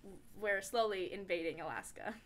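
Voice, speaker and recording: A woman's voice: medium pitch, normal speed, heavy background noise. A young adult woman, chatting casually as a podcast host.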